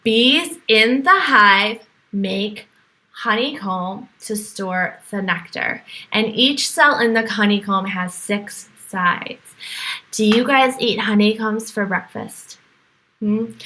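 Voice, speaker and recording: A woman with a high, bright voice reads aloud to a class of young children. She takes her time, exclaiming and asking questions as she teaches. Her delivery is animated and openly aimed at small listeners. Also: low-quality recording. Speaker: teacher reading to a virtual class.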